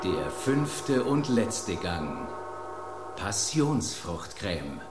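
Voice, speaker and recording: A man's voice: low-pitched, big pitch swings, extremely slow, exaggerated voice. An actor playing a solemn male announcer of dinner courses in a radio drama. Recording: echoing, loud music and gong behind.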